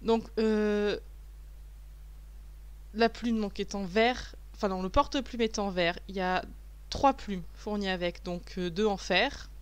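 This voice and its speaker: A woman's voice: mid-range voice, fairly even intonation, unhurried, smooth. Chatty woman narrating an unboxing. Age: adult, a mother of a young child. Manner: chatty, self-mocking, many fillers and restarts. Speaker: vlogger reviewing a package. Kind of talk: casual unboxing voice-over. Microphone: voice-over recorded afterwards, not while unboxing.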